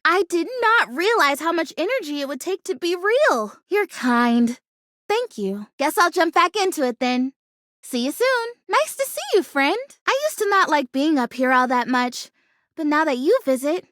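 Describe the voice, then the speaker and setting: A woman voicing a sweet, plucky, excitable talking houseplant in a video game, with earnest, gushing delivery and quaint folksy exclamations. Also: very high-pitched, no room sound, clean studio sound, full character voice. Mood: friendly, cheerful. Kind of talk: video game character acting.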